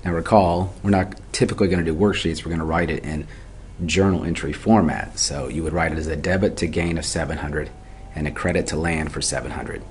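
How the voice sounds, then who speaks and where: A man's voice: extremely low pitch, fairly even intonation, fairly quick, a little hiss or hum. A man giving an explanatory tutorial lecture as an instructor, methodical and step by step, often posing questions and then answering them. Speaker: accounting instructor.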